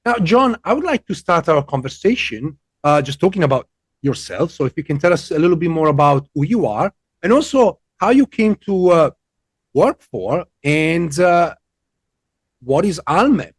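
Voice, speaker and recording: Higher-voiced male podcast host. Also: very animated, slightly rough, no echo. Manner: deliberate, dramatic pacing in the intro. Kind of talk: scripted intro then interview questions.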